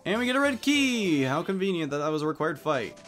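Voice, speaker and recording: A man's voice: fairly high pitch, sing-song, fast talker, fairly bright tone, soft background music. A man giving casual let's play commentary on a video game, with jokey, playful asides.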